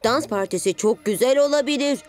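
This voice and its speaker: A woman's voice: on the high side, brisk, darkish tone, lightly acted. A woman voicing an eager, trusting six-year-old superhero boy in a cartoon, with an earnest manner. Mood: hopeful. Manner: earnest, enthusiastic. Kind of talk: animated cartoon dubbing. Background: light music.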